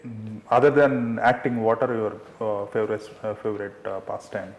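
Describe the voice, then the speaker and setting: A man interviewing a film actress, halting and full of "uh" fillers. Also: lowish voice, little pitch movement. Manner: halting, many "uh" fillers and restarts. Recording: roomy echo.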